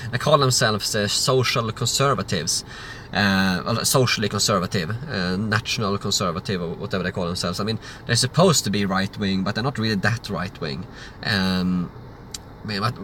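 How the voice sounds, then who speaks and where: A man's voice: lowish voice, bright, forceful tone, slight background noise. A man, hosting a livestream Q&A and answering chat questions in a loose, rambling way. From Sweden. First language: Swedish. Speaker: livestream host.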